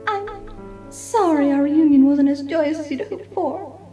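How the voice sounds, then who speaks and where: A woman voicing a gentle, sorrowful mother pony, tender and consoling in a dramatic fan fiction reading. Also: high-pitched, unhurried, faint music behind.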